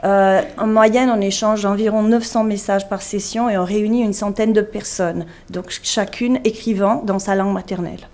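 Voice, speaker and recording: A woman's voice: mid-range voice, fairly even intonation, normal speed, few pauses, darkish tone. A woman speaking as a university lecturer, giving an explanatory conference presentation with frequent hesitations and self-corrections.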